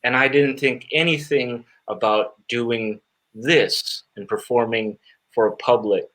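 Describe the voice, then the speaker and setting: A man answering interview questions as a guest magician, earnest and reflective, often pausing and repeating words as he searches for phrasing. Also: medium pitch, fairly even intonation, fairly bright tone, poor audio.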